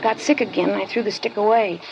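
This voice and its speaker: A woman playing a wary fifteen-year-old schoolgirl informant in a 1950s radio drama, guarded and halting. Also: medium pitch, steady tone, close to an everyday voice. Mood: recounting. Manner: guarded, halting, evasive answers. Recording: dull lo-fi old radio recording, clear hiss.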